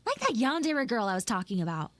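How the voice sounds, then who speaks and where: A woman voicing a defensive, flustered tsundere anime schoolgirl who acts mean but is secretly soft, in an improvised livestream roleplay. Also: a little high, huge pitch swings, no echo. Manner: defensive, pouty, halting and repetitive. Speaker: livestreamer and VTuber voice actress. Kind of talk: improvised live audio roleplay with chat asides.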